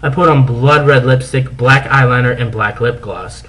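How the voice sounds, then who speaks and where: A man's voice: medium pitch, moderate pace, bright, forceful tone. A man narrating fan fiction as a comic dramatic reading, theatrical and mock-serious.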